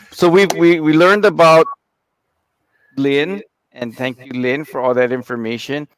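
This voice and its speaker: A man's voice: on the high side, fairly even intonation, takes it slowly, long pauses, dry recording, low-quality recording. Jokey guy who runs the org, chatty with lots of uh's, live studio show, dry sound. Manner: joking, chatty, with fillers and restarts. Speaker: head of the organization. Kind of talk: casual live talk show chat.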